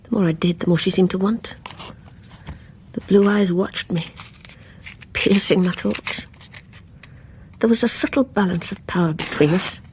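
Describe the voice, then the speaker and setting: A woman's voice: medium pitch, measured pace, pauses often, darkish tone, slight background noise, close to an everyday voice. An English actress playing a guilt-ridden, obsessive woman in a radio drama, intense and confessional in dialogue and intimate narration. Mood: brooding. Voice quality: breathy, whispery.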